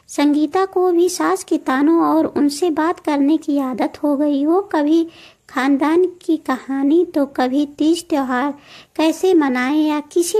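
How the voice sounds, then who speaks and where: Very high-voiced, mellow woman narrator. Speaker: story narrator. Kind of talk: scripted cartoon story narration.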